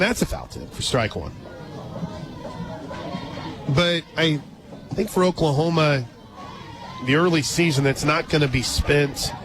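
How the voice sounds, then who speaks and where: A man doing live radio play-by-play of softball, chatty and informal, drifting into conversational asides between pitch calls. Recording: crowd noise and loud music behind. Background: ballpark crowd, music, outdoor stadium ambience.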